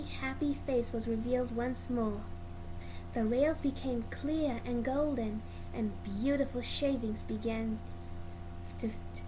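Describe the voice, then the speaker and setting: A woman reading a children's storybook aloud as narrator, in a slightly halting, amateur way. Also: high voice. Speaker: read-along narrator. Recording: clearly noisy amateur recording.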